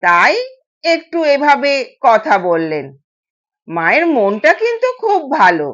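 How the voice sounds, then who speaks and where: Young new bride, played by a female storyteller, dutiful and polite, high unhurried voice, slightly lo-fi. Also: big pitch swings, fairly bright tone, full character voice. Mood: reassuring. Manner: polite, soothing. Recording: dull, lo-fi sound.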